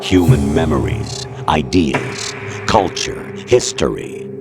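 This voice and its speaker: An actor voicing a formless artificial intelligence in a video game, cold, calm and superior, lecturing in a measured, imperious way. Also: male voice, extremely low pitch, heavily acted. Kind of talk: dramatic video game voice acting. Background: music.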